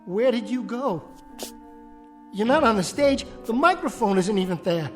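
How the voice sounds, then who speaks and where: A man's voice: high voice, expressive, moderate pace, soft background music. An actor playing a male ventriloquist terrified of his own dummy, frantic and pleading, in a radio drama. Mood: uneasy, searching. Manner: frantic, pleading, muttering to himself.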